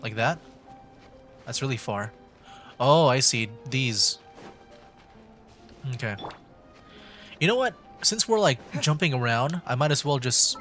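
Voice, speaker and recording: A man gives casual commentary on a game livestream. His pitch is average, and he talks his way through things in hesitant, halting bursts. Light music plays behind him. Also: bright, forceful tone. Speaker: streamer hosting a video game livestream.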